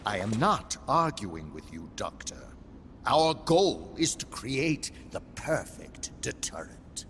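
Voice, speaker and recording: An actor playing a cold, calculating villain in a video game cutscene, lecturing in a measured, authoritative way. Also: male voice, mid-range voice, big pitch swings, a bit bright, slight background noise, full character voice. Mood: cold, didactic. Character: cold, calculating male nuclear strategist villain. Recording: long hall reverb, music behind.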